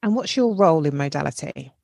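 A woman hosting a podcast with a low voice. She chats in a friendly way as she asks her guest questions. The recording is dry and close. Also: animated, fast talker, creaky, a little mellow, no echo. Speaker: podcast host. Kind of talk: podcast interviewing.

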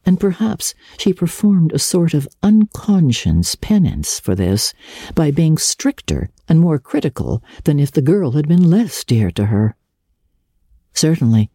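A woman reading classic fiction as an audiobook narrator, in a measured, expressive and dramatic storytelling manner. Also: deep voice, slightly rough, no room sound, clean studio sound.

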